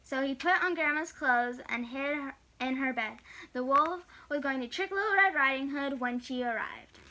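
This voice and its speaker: Woman narrator, high voice, bright tone, expressive children's read-aloud. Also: moderate pace, low-quality recording. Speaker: children's storyteller.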